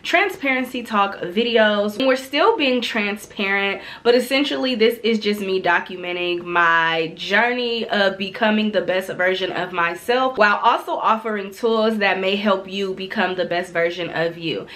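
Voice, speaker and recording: A woman talking to camera in a relaxed self-development vlog, her voice a bit nasal and congested from a cold, earnest and chatty with casual slang. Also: mid-range voice, normal speed, few pauses. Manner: earnest, repeats phrases for emphasis. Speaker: vlogger.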